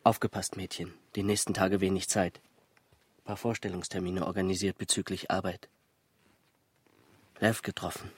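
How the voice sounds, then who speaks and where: A man's voice: sits low, level tone, moderate pace, long pauses, slightly rough. An actor playing a brooding, restless man in a literary radio drama, telling his story in terse, clipped fragments. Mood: brooding, weary.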